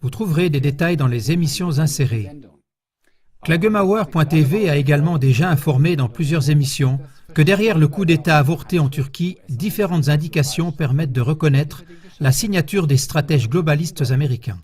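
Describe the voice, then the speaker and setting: A male voice-over narrator reads a news segment in a steady, formal manner. His voice sits somewhat higher than most men's, and he keeps a measured, scripted delivery throughout.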